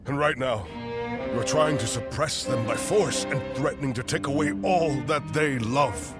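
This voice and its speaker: An actor playing an outspoken, principled veteran general of ancient China in a video game cutscene, speaking earnestly and deliberately. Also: male voice, mid-range voice, lightly acted. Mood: reproachful. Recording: orchestral music with fiddle behind the voice.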